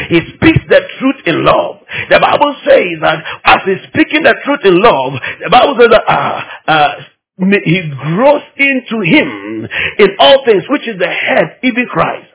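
A man's voice: high voice, gravelly, a bit bright, poor audio. A man from Ghana with a Ghanaian accent preaching a teaching sermon, emphatic and repeating phrases to drive them home.

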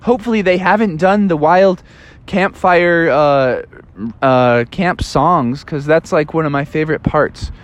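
A man's voice: on the high side, measured pace, natural voice. A man voicing a laid-back, grumpy young male animal who talks in slangy dude speak and whines a lot. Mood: grumbling. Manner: slangy surfer-dude talk, whiny.

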